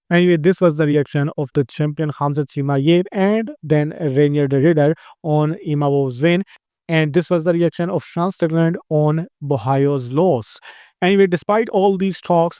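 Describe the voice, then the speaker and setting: A man's voice: fairly high pitch, darkish tone, dry recording, studio quality. A man with an Indian accent hosting a combat sports news roundup, talking in long run-on sentences with frequent "uh" fillers. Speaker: host.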